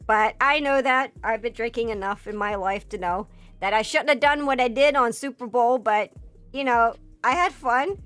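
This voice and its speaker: A hoarse woman streamer in a casual livestream chat, rambling with lots of fillers and swearing. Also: a little high, normal speed, a bit bright. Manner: rambling, filler-heavy and profane, breaks into laughter. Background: faint background music.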